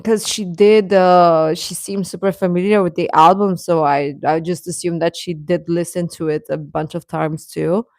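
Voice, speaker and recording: A woman hosting a casual reaction chat, talking in an animated, giggly, rambling way and often repeating phrases for emphasis. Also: lowish voice, normal speed, hardly any pauses, dry recording. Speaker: livestream host chatting with viewers.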